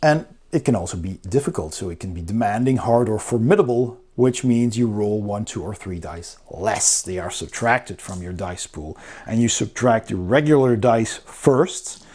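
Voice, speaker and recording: A man's voice: lowish voice, animated, normal speed, darkish tone, breathy edge. A man giving a casual, conversational review, explaining things step by step as a host. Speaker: board game reviewer and channel host.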